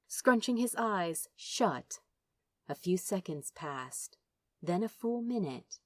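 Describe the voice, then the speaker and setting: A woman reading fiction aloud as an expressive audiobook narrator. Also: lowish voice, no room sound.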